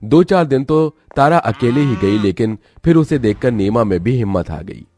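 A man's voice: mid-range voice, expressive, normal speed, darkish tone. A man narrating a scripted moral story as a storyteller.

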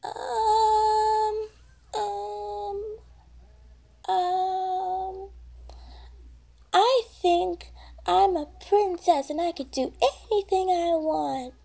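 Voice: very high-pitched, fairly even intonation, extremely slow, vocal fry, long pauses, mellow, pitched above the performer's own voice, heavily acted. A young performer voicing a mischievous little pony girl in toy play, with a hesitant, stammering, childlike delivery. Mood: cheeky.

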